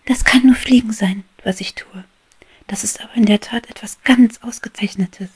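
A woman voicing an eager, bold young female bee in a storybook reading, speaking in an excited half-whisper that rises louder. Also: mid-range voice, moderate pace, husky, voice pushed up. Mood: thrilled. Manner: excited soft whisper turning loud.

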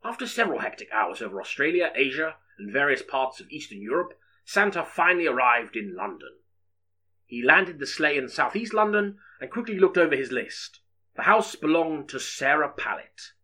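A man's voice: fairly high pitch, sing-song, normal speed, sharp tone, slightly rough. A man narrating an audiobook story in a dramatic, scripted storytelling style.

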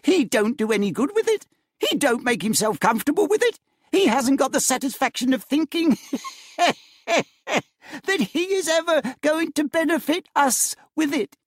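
A man's voice: extremely high pitch, sing-song, measured pace, no echo, studio quality, voice pushed up, full character voice. A man voicing a merry, hearty young nephew who laughs easily, in a storybook reading.

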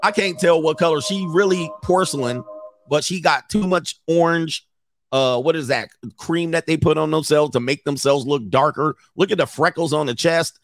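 A man's voice: a little high, normal speed, dry recording, faint music behind. A man in his forties hosting mocking reaction commentary, brash and slangy, laughing often. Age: 40s. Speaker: commentary host.

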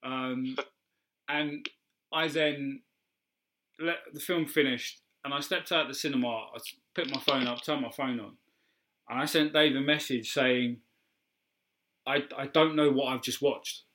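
A man co-hosting a casual podcast conversation. His voice is bright and at a middling pitch. He talks in a rambling way, with frequent ums and self-corrections. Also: fairly even intonation, measured pace, pauses often. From England. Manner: chatty, hesitant with frequent ums and restarts. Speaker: podcast co-host. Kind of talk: casual film discussion.

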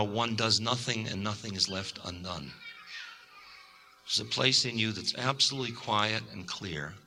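A man giving a recorded spiritual lecture in a calm, reflective, measured way. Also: lowish voice. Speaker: spiritual teacher.

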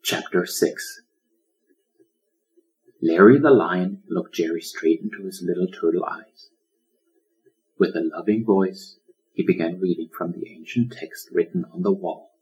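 A man's voice: deep voice, measured pace, long pauses, a little mellow, rough recording. A man reading a children's story as scripted audiobook narration. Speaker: author reading his audiobook.

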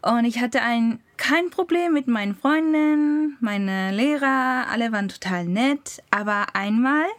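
Podcast co-host: young woman, unhurried and word-searching, giggly, recorded very dry. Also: a little high, no room sound. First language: Japanese. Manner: halting, searching for words, giggly. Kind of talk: casual personal storytelling.

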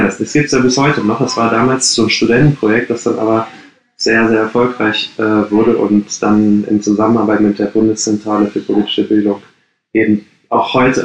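A man in his late thirties from the Ruhr area, answering interview questions casually and with many hesitations. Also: medium pitch. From Ruhr area, Germany. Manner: hesitant, many fillers and restarts. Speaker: coworking space co-founder, podcast guest. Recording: roomy echo.

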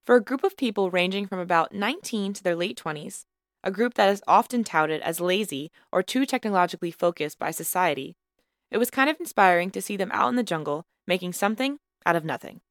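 Brief: female podcast narrator, mid pitch, dry close sound, measured with a light wry touch. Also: lively intonation, dry recording. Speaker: podcast host and student reporter. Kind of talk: scripted narration.

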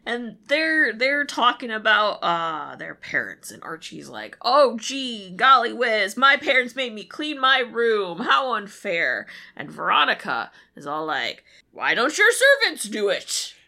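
A woman podcast co-host with a bright, fairly high voice. She talks casually and comically, with lively swings in pitch and a sweary, exasperated edge. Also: a little slow, vocal fry, hardly any pauses. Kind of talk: casual comedic chat about a cartoon.

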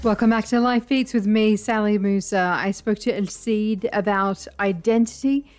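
A woman with an Australian accent, hosting a radio interview and speaking in a measured, reflective way. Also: mid-range voice, fairly even intonation, darkish tone, soft background music. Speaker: radio host.

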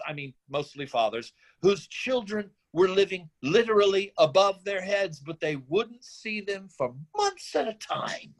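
A man acting an idealistic, defensive drifter father in a screenplay reading, speechifying earnestly in a rambling way. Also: on the high side. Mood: confiding. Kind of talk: screenplay table-read acting.

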